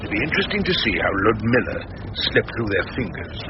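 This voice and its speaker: Wry, theatrical old British barrister. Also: male voice, fairly low pitch, sing-song, fast talker. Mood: declamatory. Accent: British English. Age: older. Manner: theatrical, eloquent, pompous. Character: defiant, wry old male barrister, eloquent grumbler. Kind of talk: radio drama acting and first-person narration. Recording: dull radio recording with background noise.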